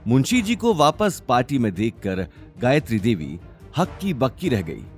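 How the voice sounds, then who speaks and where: A man's voice: medium pitch, wildly swooping pitch, normal speed, darkish tone, faint music behind. A man narrating a scripted audio story in a dramatic style.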